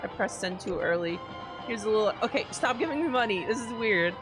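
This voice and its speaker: A woman's voice: mid-range voice, lively intonation, brisk. A woman game streamer giving casual, playful live commentary, sometimes sweary and reading game text aloud. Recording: game music behind.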